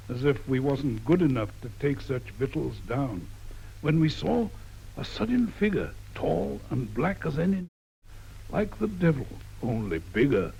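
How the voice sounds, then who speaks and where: Gravelly man reciting rhythmic verse. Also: mid-range voice, dark tone, a little hiss or hum. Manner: rhythmic, sing-song verse reading. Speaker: poet reading his own work. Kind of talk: poetry recitation. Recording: dull lo-fi old tape transfer.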